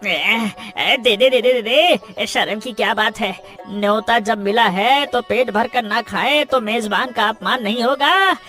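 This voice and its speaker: A woman's voice: on the high side, measured pace, hardly any pauses, fairly bright tone, faint music behind. A woman playing a greedy, shameless village glutton in a comic cartoon, urging repetitively. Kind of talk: Hindi cartoon comic dialogue.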